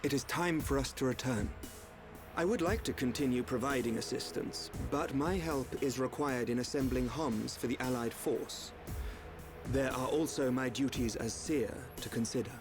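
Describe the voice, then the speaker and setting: An actor playing a dutiful, solemn seer in a video game, speaking formally and politely. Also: male voice, mid-range voice, moderate pace, close to an everyday voice. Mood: solemn, courteous. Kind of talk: video game cutscene acting. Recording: music behind the voice.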